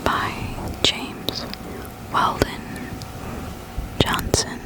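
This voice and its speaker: A person whispering gently and soothingly into a close microphone in an ASMR reading style, with an unvoiced, breathy quality. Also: extremely slow, rough recording. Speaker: host of an ASMR reading channel. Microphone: close desktop condenser microphone.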